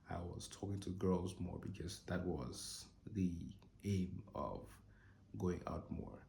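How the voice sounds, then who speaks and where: A young man in his twenties talks slowly and thoughtfully about his own life, his very low voice gravelly and hoarse from a cold. The room around him is echoey, with a faint hiss underneath. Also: dark tone. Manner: slow, thoughtful storytelling with pauses. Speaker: channel host. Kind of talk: reflective personal monologue.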